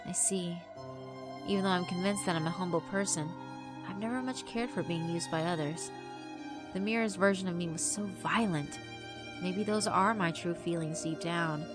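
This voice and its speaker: A woman's voice: lowish voice, moderate pace, natural voice. A woman voicing a meek, humble young yokai girl, timid and hesitant, in visual novel style. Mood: reflective, resolving. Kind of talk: dramatic visual novel voice acting. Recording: music behind the voice.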